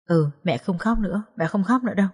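A weary young mother voiced by a woman narrator speaks in a low, subdued voice. She sounds heartbroken and resigned, as in audio-story dialogue. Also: moderate pace, darkish tone, close to an everyday voice. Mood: soothing, sad.

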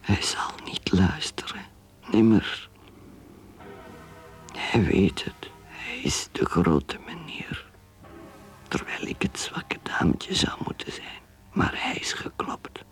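An actress in a radio play playing a despairing alcoholic wife brooding in secret, in breathy, halting, broken phrases. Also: female voice, a bass voice, wildly swooping pitch, faint music behind, exaggerated voice. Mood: bitter, wistful. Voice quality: breathy, whispered, creaky. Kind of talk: radio play inner monologue.

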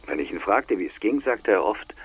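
An actor playing a blunt, shaken middle-aged man probing an old friend in naturalistic radio drama, with a gravelly, husky, expressive voice. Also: on the low side, fairly quick, no echo, narrow, tinny audio, full character voice.

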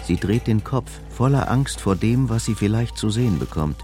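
An actor as the grave narrator of a crime thriller radio drama, telling it in short, scripted present-tense sentences. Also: male voice, fairly low pitch, expressive, moderate pace, warm tone, natural voice. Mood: tense, restrained. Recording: music behind the voice.

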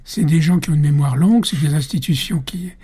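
A male guest expert in a radio interview, explaining at length in a measured way that is broken up by hesitations. His voice is mellow and soft-edged, with a husky texture. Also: fairly high pitch.

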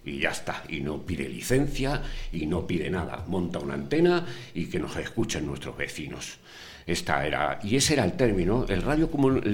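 An older man, a community radio veteran, answering interview questions in an unhurried way with many hesitations. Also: deep voice.

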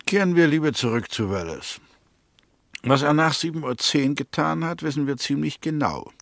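Coolly accusing prosecutor, dry sound. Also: male voice, on the high side, lively intonation, moderate pace, gravelly, no echo. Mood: pointed, assured. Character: coolly accusing male prosecutor reconstructing a suspect's movements. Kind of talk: 1960s radio drama acting.

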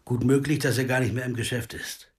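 An actor playing an insistent, searching amateur sleuth in a German radio crime drama, who also narrates. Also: male voice, mid-range voice, level tone, a little fast, natural voice. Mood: earnest. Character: amateur sleuth Evan Horn, American man, insistent, searching. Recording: music behind.

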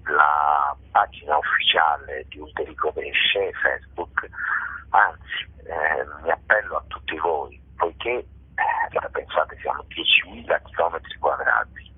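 A man's voice: very deep voice, measured pace, slightly rough, no room sound. A man from Basilicata with a southern Italian accent, speaking emphatically as a theatre storyteller and repeating phrases for effect. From Basilicata, southern Italy. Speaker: theatre author, director and actor. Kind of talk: interview, passionate storytelling about own stage work. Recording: narrow tinny phone or radio line.

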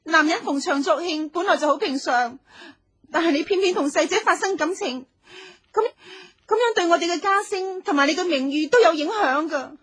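Suspicious young wife, Cantonese, radio drama, sharp bright voice, dry close recording, insistent, dramatic. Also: female voice, extremely high pitch, normal speed, no echo. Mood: hurt, reproachful. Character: jealous young wife, suspicious, demanding and tearful.

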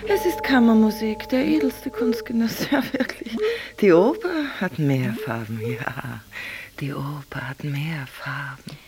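An actress playing a wry, mocking concertgoer commenting ironically in a radio play. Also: female voice, deep voice, measured pace, a little mellow. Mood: wry, amused. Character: wry, mocking Viennese concertgoer observing the audience. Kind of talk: radio play inner monologue.

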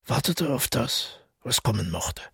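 An actor playing a withdrawn, middle-aged widower who tells his story in a radio drama, husky and gravelly, reflective and measured. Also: medium pitch, sing-song. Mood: hushed, uneasy. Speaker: narrator and protagonist. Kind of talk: literary radio drama monologue.

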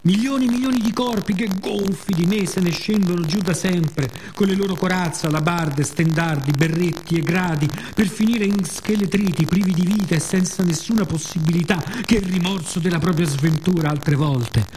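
A man playing the sea as a weary, bitter yet tender narrator in a theatrical radio monologue with deliberate repetitions. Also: high voice, darkish tone, natural voice, low-quality recording. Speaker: actor performing own monologue. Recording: clear background noise and ambience.

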